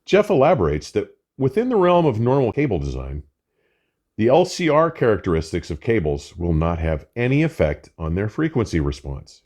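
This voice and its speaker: An older man presenting a scripted product review in a measured, emphatic way with formal wording. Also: fairly low pitch, very animated, a little mellow. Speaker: audio equipment reviewer and host.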